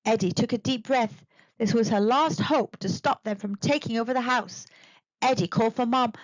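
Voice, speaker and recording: A woman narrating a children's storybook in an expressive, deliberate read-aloud manner. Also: fairly high pitch, no echo, dull audio. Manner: animated, deliberate.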